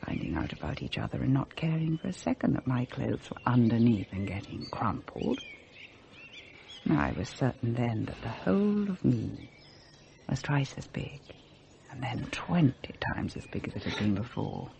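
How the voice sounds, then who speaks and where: A middle-aged upper-class English wife, bored and rebellious. Her delivery is articulate and dramatic, swinging widely in pitch as she mocks herself. It is radio drama with faint noise behind it. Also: sing-song, moderate pace, vocal fry, warm tone, exaggerated voice. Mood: rapturous. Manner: articulate, dramatic, self-mocking. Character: bored upper-class married mother, breathless, rebellious, theatrical. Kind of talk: British radio play acting.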